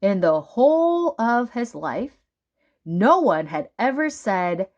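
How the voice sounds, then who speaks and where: A woman narrates a children's book aloud at a very slow, deliberate pace. Her intonation swings in an animated way, with dramatic emphasis on key words. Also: medium pitch, dull audio. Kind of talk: storybook read-aloud narration.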